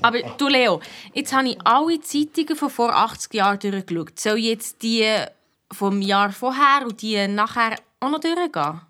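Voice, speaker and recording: A woman's voice: on the high side, lively intonation, moderate pace, sharp tone, no room sound. A woman voicing a young girl detective with a Swiss accent, eager and blunt, telling her story colloquially in a children's radio play. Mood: curious.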